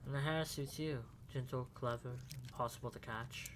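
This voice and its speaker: A man playing a principled, thoughtful young wizard student in a fan audio drama, speaking in a calm, measured way. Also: medium pitch, slight background noise, natural voice. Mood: tender.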